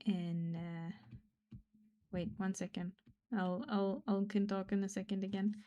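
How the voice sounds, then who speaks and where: A woman streamer chatting casually while drawing, rambling and self-deprecating, often trailing off into quiet mumbles; German is her first language. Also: medium pitch, completely flat delivery, slow pace, muffled recording.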